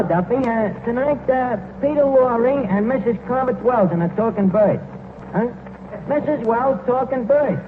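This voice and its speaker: An actor playing a pompous, malaprop tavern manager with a New York accent, in a 1940s radio comedy style. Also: male voice, extremely high pitch. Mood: breezy, wisecracking. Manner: mangles grammar and big words with pompous confidence. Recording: noisy, tinny 1940s radio broadcast, roomy.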